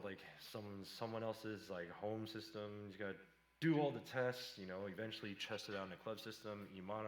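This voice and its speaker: A man with a low voice, an electronic music producer giving a casual livestream tutorial from his home studio, loose and chatty with many fillers. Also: fairly bright tone. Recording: roomy echoey sound.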